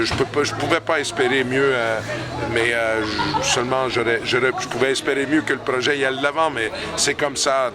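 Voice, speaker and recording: A middle-aged hockey coach, answering post-game interview questions slowly, with many pauses and restarts. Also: male voice, medium pitch. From Canada. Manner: hesitant, many fillers and restarts. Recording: loud crowd chatter behind.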